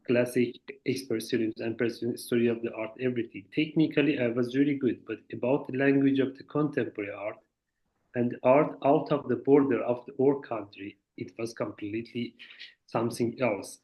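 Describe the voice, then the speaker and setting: Halting man. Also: mid-range voice. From Iran. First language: Farsi. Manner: halting, searching for words in a second language. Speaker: visiting artist. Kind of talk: informal talk over a video call. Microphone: video call. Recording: muffled video-call audio.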